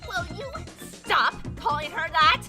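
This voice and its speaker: A woman's voice: extremely high pitch, expressive, a little slow, over music. A woman voicing a boastful, melodramatic female unicorn magician pony in a cartoon-style fan audio drama. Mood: indignant, dismayed. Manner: haughty, melodramatic, speaks of herself in third person.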